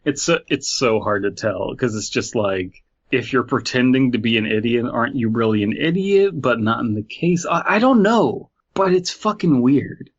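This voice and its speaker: A man's voice: medium pitch, expressive, moderate pace. A man co-hosting a podcast in casual chat, joking and sarcastic, often laughing. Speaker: podcast co-host. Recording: dull lo-fi sound.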